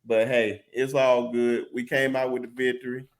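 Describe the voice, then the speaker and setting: A man hosting a casual sports podcast, talking with excited, rising bursts. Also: medium pitch, normal speed. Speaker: podcast host.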